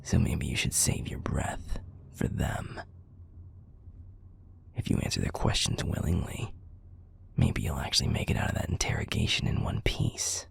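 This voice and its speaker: A man playing a bitter, menacing werewolf pack leader in an audio roleplay, profane and commanding in a breathy growl. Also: very deep voice, sing-song, moderate pace, in a whisper, full character voice. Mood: weary, cold contempt. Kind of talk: audio roleplay drama acting.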